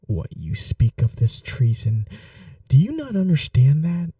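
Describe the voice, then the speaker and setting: Man playing a slow, dark-voiced scheming prince. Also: a little high, huge pitch swings, no echo, studio quality, recorded from a distance, heavily acted. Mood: stern, menacing. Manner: formal, measured, hushed, imperious. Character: scheming young prince, commanding yet tender to his bride. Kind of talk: dramatic ASMR audio roleplay.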